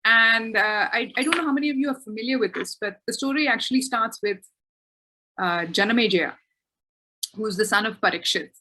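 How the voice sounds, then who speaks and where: A woman's voice: on the high side, fairly quick, sharp tone, a little hiss or hum, low-quality recording. A woman author answering questions in a webinar, thoughtful and self-correcting, with frequent restarts.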